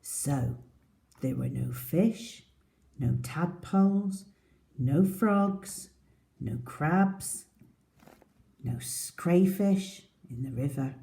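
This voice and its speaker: A woman reading a storybook aloud to young children as a teacher, in a deliberate, expressive manner. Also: low-pitched, creaky.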